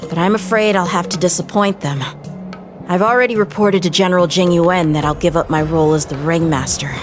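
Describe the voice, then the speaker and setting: A woman's voice: fairly low pitch, steady tone, music in the background, close to an everyday voice. An actress voicing a short, dutiful boy lieutenant who speaks earnestly and protests readily. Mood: determined. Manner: earnest, determined, quick to protest. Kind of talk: game voice acting.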